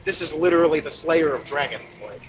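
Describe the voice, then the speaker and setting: A man's voice: a little high, animated, brisk, music in the background, low-quality recording. A man playing a tabletop game, chatting casually as he explains tactics and advises the others. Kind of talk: casual game strategy chat.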